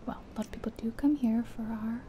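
A woman whispering softly and breathily in an ASMR roleplay as a friendly taxi driver, chatting gently and without hurry. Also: a little high, fast. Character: friendly small-town taxi driver giving a guided ride. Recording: steady car and rain noise. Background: car sounds and rain.

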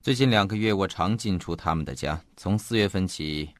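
An actor playing a genial, measured police chief confiding a case in an audio mystery drama, in a good-humoured conversational way. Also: male voice, low-pitched, no echo, close to an everyday voice. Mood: amiable, confiding.

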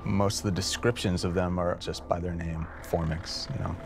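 A man's voice: sits low, steady tone, moderate pace, vocal fry, background noise. A man in his thirties explaining his work as a creature designer in a behind-the-scenes interview. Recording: music playing behind the voice.

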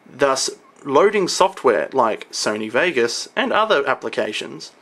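Young man with a lively, swinging pitch. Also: unhurried. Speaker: video presenter showing computer parts. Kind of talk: informal product walkthrough on camera.

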